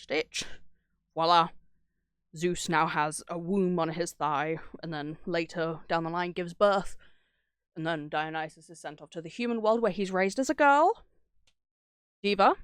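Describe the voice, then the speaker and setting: A woman's voice: fairly low pitch, unhurried, smooth, no room sound, clean studio sound. A woman streamer chatting casually and rambling through stories in a sweary, irreverent way. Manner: acts out dialogue when retelling stories. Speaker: streamer reacting to horror videos. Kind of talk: casual rambling chat, storytelling.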